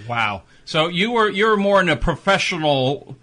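A man's voice: high-pitched, big pitch swings, moderate pace, bright, forceful tone. A man co-hosting an interview program, offering conversational commentary and observations to the guest. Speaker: co-host.

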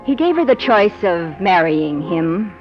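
A woman's voice: lowish voice, sing-song, unhurried, muffled recording. An actress playing a worldly young nightclub showgirl, coy and guarded, in 1940s radio noir style. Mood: wry, confessional. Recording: band-limited 1940s radio with music.

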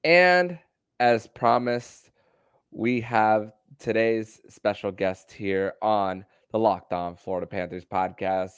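A man hosting a sports podcast and interviewing, with somewhat halting, stop-start phrasing. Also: lowish voice, clear, resonant tone, no room sound, studio quality. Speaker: podcast host.

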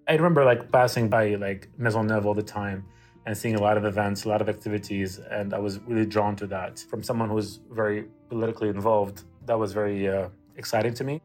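A man's voice: fairly low pitch, steady tone, fast talker, a little mellow, soft background music. A man from Syria, a native Arabic speaker, recalling his student days casually in an interview. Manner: casual, with frequent "like" and "you know". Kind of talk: interview recollection.

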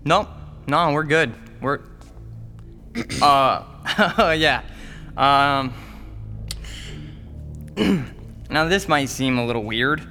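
A man's voice: a little high, expressive, drawn-out words, long pauses, bright, forceful tone, soft background music, exaggerated voice. An actor playing an eager, nervous young man in an audio drama, babbling, ingratiating and repeating himself. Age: young adult. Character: eager, nervous young male comedian, half of a couple. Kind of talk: modern audio drama acting.

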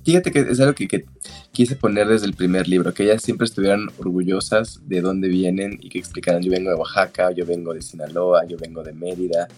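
A man's voice: low voice, steady tone, fairly quick, a little mellow, slightly rough, faint music behind. A man, an author answering interview questions in a frank, opinionated way. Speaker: author and guest.

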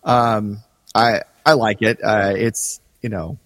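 A man co-hosting a podcast in casual fan discussion, halting and thoughtful as he talks. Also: fairly low pitch, fairly even intonation, no room sound, big-room sound. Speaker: podcast co-host.

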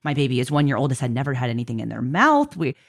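A woman interviewing in an informal, conversational way, animated and encouraging. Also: very deep voice, racing. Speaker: podcast interviewer.

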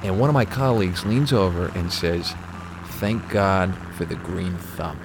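A man's voice: sits low, unhurried, gravelly, noisy background. An actor playing a retired, conscience-stricken corporate executive, an older man reminiscing in a documentary interview. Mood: troubled, reflective.

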